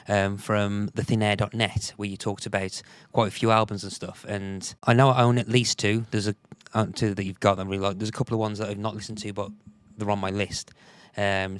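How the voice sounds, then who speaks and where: Low-voiced guy hosting a podcast, slightly croaky, dry close sound, rambles and restarts a lot. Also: dry recording. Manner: rambling, restarts often, chuckles. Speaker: podcast host. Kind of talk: casual podcast chat.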